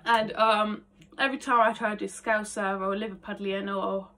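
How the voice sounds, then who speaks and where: A woman's voice: medium pitch, level tone, moderate pace, a bit bright. A woman in her twenties talking casually and self-deprecatingly to camera in an informal vlog style. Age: 20s. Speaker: aspiring actress. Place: small attic bedroom, indoors. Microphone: phone camera a short distance away.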